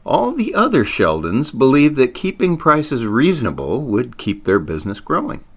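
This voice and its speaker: A man's voice: mid-range voice, wildly swooping pitch, normal speed, a bit bright. A man reading a scripted story aloud as its narrator, with theatrical emphasis on wordplay and punchlines. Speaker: author reading own short story.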